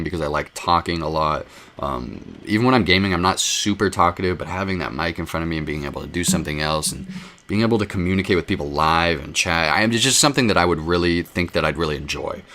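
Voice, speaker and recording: A man's voice: sits low, a bit bright. A young man rambling casually to his viewers about gaming, with lots of fillers and restarts, into a desk mic. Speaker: gaming video creator. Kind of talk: casual rambling vlog talk.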